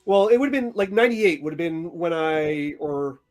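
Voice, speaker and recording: Halting man in his forties chatting. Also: on the high side, animated, a little mellow. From French-speaking upbringing. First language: French. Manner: halting, repeats words and restarts. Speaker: podcast co-host. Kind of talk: casual chat.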